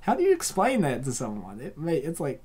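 A woman hosting a comic dub with casual, rambling, excitable reaction commentary. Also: low-pitched, a little fast, slightly rough.

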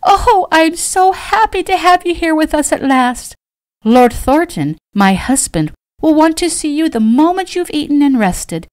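An elegant, doting mother of a noble house , acted by a woman narrator. She speaks in a lifted, high voice and coos and gushes with excitable warmth, as in a romantic storybook. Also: measured pace, hardly any pauses, dry recording, full character voice. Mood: squealing joy. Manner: cooing, effusive, gushing.

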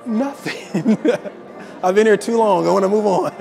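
A man's voice: high voice, measured pace, vocal fry. A young man from Arkansas giving an interview testimonial as a law graduate. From Arkansas, USA. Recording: music behind the voice.

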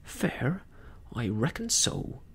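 A man voicing a crafty, domineering pirate sea cook in a storybook, taunting in rough nautical dialect with a husky, gravelly voice. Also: on the high side, very animated, slow pace, a little hiss or hum. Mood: defiant.

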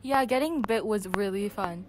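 A woman's voice: medium pitch, moderate pace, a crowd in the background, close to an everyday voice. A teenage girl playing a sardonic young survivor in an amateur audio drama. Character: sardonic bitten girl recovering from zombie infection. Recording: noisy amateur student recording.

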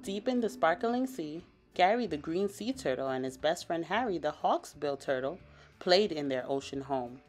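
Woman reading a kids picture book, lowish voice, super expressive, bit of music behind. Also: normal speed. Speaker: read-aloud storyteller.

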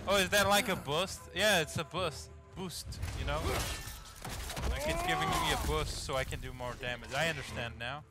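A man's voice: a little high, wildly swooping pitch, normal speed. A man whose first language is not English, casually commenting while playing a game, swearing a lot and stumbling when reading aloud. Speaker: gamer playing through a game. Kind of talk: casual let's play commentary. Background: game music.

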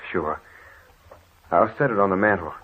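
A man's voice: deep voice, normal speed, natural voice. An actor playing an earnest, reassuring man in an old-time radio drama. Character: calm man soothing a frightened woman. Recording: dull, noisy old radio recording.

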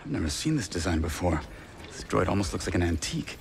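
A man's voice: deep voice, very animated, fast talker, vocal fry, soft background music, full character voice. A man playing a tough, observant gunman ally in a video game, cool and assured. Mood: curious. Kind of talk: video game cutscene acting.

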